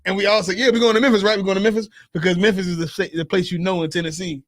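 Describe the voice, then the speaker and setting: A man's voice: high voice, level tone, rapid-fire, fairly bright tone, dry recording. A man from Philadelphia co-hosting a casual podcast chat, laughing often and repeating his words. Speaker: podcast co-host.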